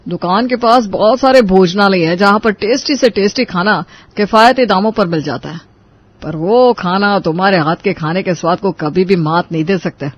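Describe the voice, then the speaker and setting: A man's voice: high-pitched, normal speed, muffled recording. A man acting an affectionate, appreciative young husband in a family story. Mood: fond.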